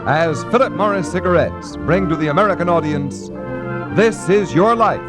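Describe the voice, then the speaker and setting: A man's voice: a little high, expressive, normal speed. A man announcing a scripted 1940s radio show in a formal, dramatic showman's style. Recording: old 1940s radio transcription with music.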